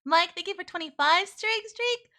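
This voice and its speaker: Brief: bubbly woman streamer, high and bright, slight sore throat, casual giggly chat. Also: expressive, normal speed. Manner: bubbly, giggly, reads out viewer thanks. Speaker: VTuber streamer.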